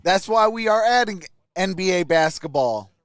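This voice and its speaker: A man's voice: high-pitched, lively intonation, normal speed, no room sound, clean studio sound. A man hosting a sports livestream, casually mixing play-by-play with informal, chatty replies to viewers. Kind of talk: casual live play-by-play and chat.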